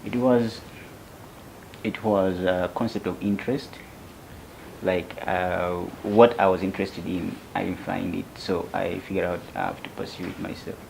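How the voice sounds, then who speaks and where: Ugandan man in his twenties, interview guest; very low, gravelly voice, slow and soft-spoken, faint hiss behind. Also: fairly even intonation, low-quality recording. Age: 20s. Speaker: young tech founder, interview guest. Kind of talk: interview answers.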